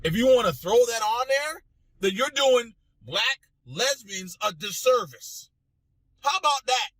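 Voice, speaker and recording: A man's voice: extremely high pitch, lively intonation, a little slow, a bit bright, no echo, low-quality recording. A man hosting a political talk show, speaking straight to listeners in an emphatic, indignant monologue full of repetition and rhetorical questions. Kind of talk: opinionated political commentary monologue addressed to listeners.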